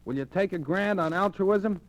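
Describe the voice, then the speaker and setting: A man's voice: sits high, normal speed, close to an everyday voice, rough recording. A man playing a wisecracking, cagey American office clerk in an old-time radio drama, with slangy, wry delivery. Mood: eager, cagey.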